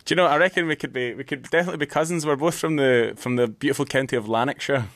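Fast-talking man. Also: medium pitch, a bit bright, no room sound. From Scotland. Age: 30s. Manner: quick, jokey. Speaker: radio producer.

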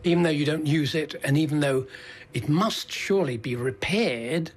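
An older man interviews in a husky, slightly high voice. He is conversational and probing, thinking aloud as he frames his questions. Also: moderate pace.

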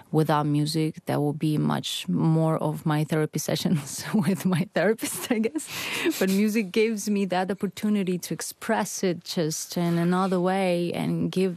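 A woman's voice: low voice, vocal fry, mellow, no room sound. A woman in her thirties from western Ukraine, speaking English with a Ukrainian accent as a musician guest, earnest and searching for words. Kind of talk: interview answers.

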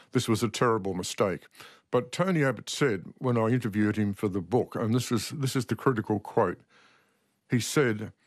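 A man gives measured interview commentary in a fairly low voice. He is deliberate and emphatic, often restating a phrase to stress it. The sound is very dry, with no room on it. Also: dry recording. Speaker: interviewed author and political commentator.

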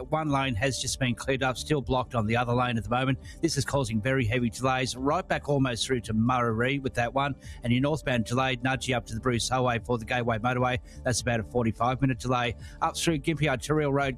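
A man rattles through a radio traffic update in a brisk, businesslike way. His voice sits at a middle pitch, with faint music playing behind him. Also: a bit bright. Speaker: traffic reporter.

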